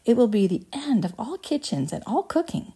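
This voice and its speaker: A woman voicing an excitable, boastful male candy inventor in a storybook, with a grandiose, gushing, emphatic manner. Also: fairly high pitch, moderate pace, slightly rough, pitched above the performer's own voice. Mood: grand, gushing.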